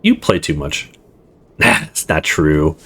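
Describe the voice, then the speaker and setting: A man's voice: rumbling low, wildly swooping pitch, slow, gravelly, fairly bright tone, slightly rough, voice pushed down, heavily acted. A man voicing a sensible, sheepish young man in a visual novel, hesitant and reassuring. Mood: chiding.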